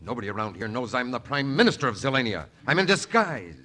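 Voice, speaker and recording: Wildly animated scheming prime minister. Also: male voice, medium pitch. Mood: comic indignation. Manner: fast-talking comic wheedling, exaggerated. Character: scheming, wisecracking deposed prime minister of tiny kingdom. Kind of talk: 1940s radio comedy acting. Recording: noisy old 1940s radio broadcast.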